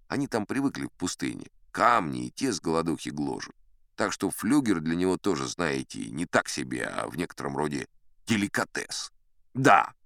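A genial old sea captain tells tall tales in a very deep voice. His delivery is folksy and wry, with lively, swooping intonation. It is a close, dry studio audiobook sound. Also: dry recording. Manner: folksy, wry, conversational raconteur. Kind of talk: dramatised audiobook storytelling.

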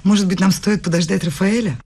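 An actress playing an earnest, proud young woman student in a radio play, with a husky voice and a brisk, insistent manner. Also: medium pitch, darkish tone, slight background noise, natural voice. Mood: polite, guarded. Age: young adult.

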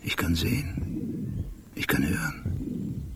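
An actor playing a brooding, obsessive police detective in a radio drama monologue, halting and intense, sometimes close to a whisper. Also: male voice, sits low, sing-song, heavy background noise, heavily acted. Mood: haunted, introspective. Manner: halting, sparse, intense, near whisper at times.

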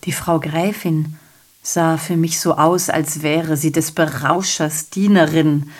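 An actress playing a garrulous, self-pitying messenger in a German radio play, giving a digressive, theatrical monologue that keeps correcting itself. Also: female voice, mid-range voice, natural voice. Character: garrulous, self-pitying messenger reporting a night of revelry and shootings.

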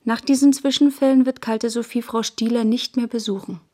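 An actress as a frank, high-voiced young woman. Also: fairly even intonation, fairly quick, warm tone, natural voice. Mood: sober, matter-of-fact. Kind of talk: German radio play monologue and narration.

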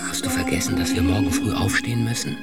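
An emphatic, animated loyalist major. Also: male voice, fairly low pitch, fast, creaky, over loud music, heavily acted. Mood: coaxing. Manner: accusing, emphatic. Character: suspicious, hot-headed loyalist army major posing as friend. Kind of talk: German science-fiction radio drama acting.

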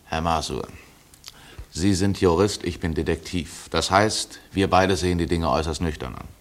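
Radio drama, male: sober detective, played by an actor, low register, methodical, businesslike and probing. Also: lightly acted. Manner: matter-of-fact, deliberate, probing. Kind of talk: German radio play acting.

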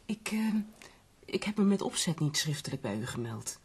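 Very husky, composed lady visitor. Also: deep voice, big pitch swings, darkish tone, exaggerated voice. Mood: polite, concerned. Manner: measured, formal, considerate. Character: polite, composed, concerned lady visiting a sick friend. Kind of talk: radio drama narration and dialogue.

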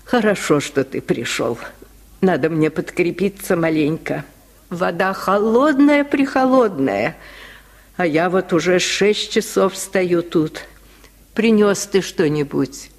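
A man's voice: high voice, animated, takes it slowly, heavily acted. A man voicing a poor, tired washerwoman, a plaintive and affectionate mother, in an old radio story recording. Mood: weary. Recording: 1950s radio recording, long reverb.

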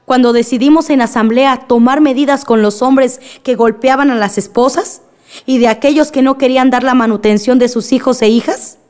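Earnest, higher-voiced village woman played by an actress. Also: fairly even intonation, darkish tone, clear, resonant tone, lightly acted. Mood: solemn. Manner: earnest, measured oratory. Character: Alicia, grieving village woman, earnest community peacemaker. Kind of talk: radio drama acting, assembly speech. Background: music.

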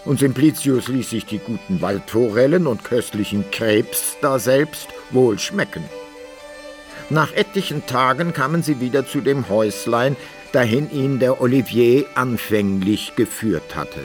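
An actor playing an author who narrates his hero's adventures in a deliberate, measured way, in archaic German for a radio play. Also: medium pitch, soft background music, lightly acted. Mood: narrating. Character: male author-narrator fondly recounting his hero Simplicius's adventures.

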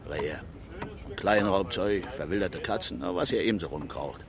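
Gruff, low-voiced, laconic woodsman. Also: moderate pace, over a noisy crowd. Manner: terse, folksy, colloquial. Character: gruff, laconic East German forestry worker, fond of teasing. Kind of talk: naturalistic 1970s radio crime drama acting. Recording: clearly noisy old radio play recording.

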